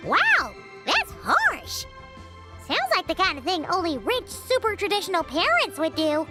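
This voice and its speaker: A little fairy companion from a video game. Her voice is extremely high and childlike, swinging widely as she exclaims and chatters. Music plays clearly behind her. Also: wildly swooping pitch, a little slow, heavily acted. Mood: surprised. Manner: exclaiming, refers to self in third person. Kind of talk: animated video game voice acting.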